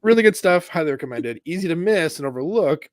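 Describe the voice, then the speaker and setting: A man's voice: a little high, animated, quick pace, dry recording, clean studio sound. A man co-hosting a casual football chat, rambling with lots of fillers but enthusiastic. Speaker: podcast co-host.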